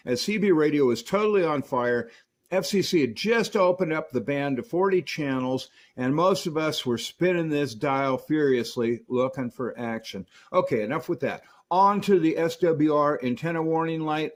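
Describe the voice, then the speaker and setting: A middle-aged man in a casual, chatty hands-on review, folksy and enthusiastic about old equipment. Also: medium pitch. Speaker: radio repair reviewer.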